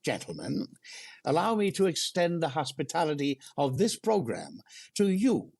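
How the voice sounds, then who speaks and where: A man's voice: fairly high pitch, wildly swooping pitch, normal speed, creaky, a little mellow, husky, heavily acted. An actor playing a mild, fumbling comic foil who keeps losing his welcome speech. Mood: flustered. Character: flustered, mild-mannered male comic foil. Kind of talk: 1930s radio comedy acting.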